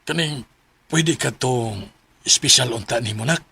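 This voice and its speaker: An affectionate middle-aged Cebuano dad in a radio drama. His voice is very bright and forceful, with a creaky edge. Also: mid-range voice, measured pace, exaggerated voice. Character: affectionate middle-aged father of a grown daughter. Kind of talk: Filipino radio drama acting.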